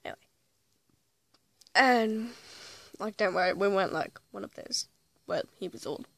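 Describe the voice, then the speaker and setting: A woman's voice: mid-range voice, moderate pace, vocal fry, fairly bright tone. A woman telling a rambling story in a casual vlog, correcting herself as she goes, with a slight cold and a voice that is starting to go. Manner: rambling, self-correcting, chatty. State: slight cold, losing her voice. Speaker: vlogger playing an invented actress.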